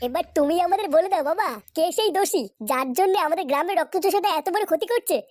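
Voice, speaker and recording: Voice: very high-pitched, fast, darkish tone, no echo. A performer voicing an earnest, coarse-mouthed young village ringleader in a comic cartoon dub. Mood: pleading.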